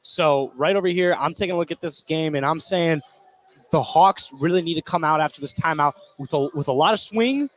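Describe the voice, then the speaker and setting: A man's voice: on the high side, brisk. A man giving casual color commentary at a live basketball game, chipping in with informal reactions and opinions. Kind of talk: casual live sports analysis.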